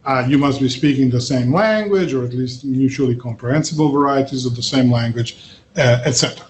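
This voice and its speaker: A man's voice: mid-range voice, a little fast, a little hiss or hum. Hesitant male lecturer. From Belgium, raised in Italy. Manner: conversational, hesitant with many fillers. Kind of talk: university lecture. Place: classroom.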